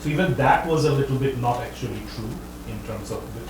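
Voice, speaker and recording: A man answers an audience question in a roomy lecture hall, weighing his words as he goes and often stopping to correct himself. He sits a little back from the mic, and steady background noise runs under his speech. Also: mid-range voice, fast talker. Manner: thoughtful, hedging, self-correcting. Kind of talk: academic talk Q&A answer. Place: lecture room. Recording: echoey room, distant mic, background noise.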